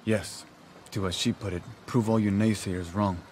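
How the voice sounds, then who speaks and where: Game supporting role: earnest, anxious male researcher, lowish voice with slight background noise played by an actor. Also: fairly even intonation, normal speed, a little mellow, natural voice. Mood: worried, regretful. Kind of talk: video game cutscene acting.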